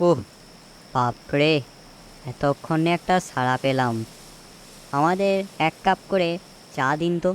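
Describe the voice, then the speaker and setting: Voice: mid-range voice, slow, long pauses, a little hiss or hum, close to an everyday voice, rough recording. An actor voicing a wary village woodcutter in a spooky cartoon, speaking in exclamations and chiding his friend. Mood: relieved, chatty. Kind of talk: cartoon character acting.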